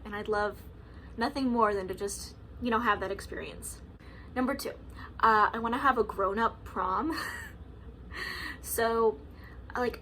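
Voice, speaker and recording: A woman's voice: medium pitch, a bit bright, slight background noise, rough recording. A woman in her early twenties talking casually to camera at home, chatty, with lots of "like" and "um" and frequent laughs. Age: 20s. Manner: chatty, lots of likes and ums, laughs often. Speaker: vlogger.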